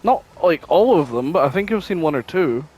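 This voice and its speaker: A man's voice: on the high side, lively intonation, brisk, a little mellow, slightly rough, low-quality recording. A man co-hosting a podcast, chatting casually and informally. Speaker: podcast co-host.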